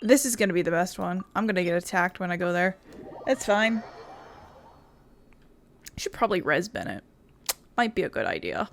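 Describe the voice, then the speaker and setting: A woman's voice: lowish voice, big pitch swings, normal speed, vocal fry, pauses often, slight background noise. A woman giving casual, rambling game commentary, talking to herself and swearing a little. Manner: self-talking, mildly sweary. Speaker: gaming video host.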